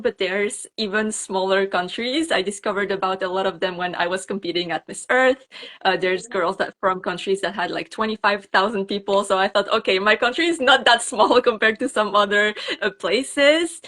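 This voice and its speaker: A woman's voice: medium pitch, a bit bright. Francophone woman chatting as guest. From Belgium, French-speaking part. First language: French. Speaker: pageant queen, interview guest. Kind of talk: casual interview chat.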